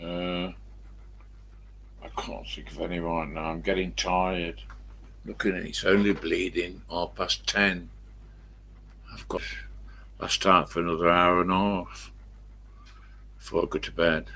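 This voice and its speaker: An elderly English man with a croaky smoker's voice, rambling casually to viewers on a live stream and answering his own questions. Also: sits low, level tone, unhurried, pauses often, pushed and bright, a little hiss or hum. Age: 70s or older. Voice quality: croaky, husky smoker's voice with a cough.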